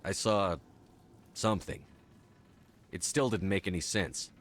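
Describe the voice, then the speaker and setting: An actor playing a resolute, no-nonsense human military commander in a video game, terse and matter-of-fact. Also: male voice, sits low, steady tone, a little slow. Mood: probing, blunt. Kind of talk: video game dramatic acting.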